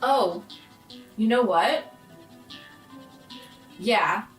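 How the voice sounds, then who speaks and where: A woman's voice: mid-range voice, animated, very slow, soft background music, rough recording. A woman chatting casually as a player at a tabletop game.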